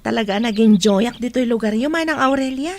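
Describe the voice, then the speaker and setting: A woman's voice: fairly high pitch, fairly quick, a little mellow, lightly acted. A woman playing a friendly, appreciative visitor on holiday in a radio drama. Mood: wistful, delighted. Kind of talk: Ilocano radio drama acting. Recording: noisy bed of bird and insect sounds.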